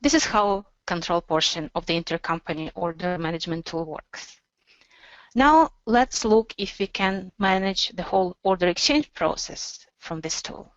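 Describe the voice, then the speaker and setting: Woman presenting a software demo, dry muffled sound. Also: on the low side, moderate pace, no echo. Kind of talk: instructional software demo narration.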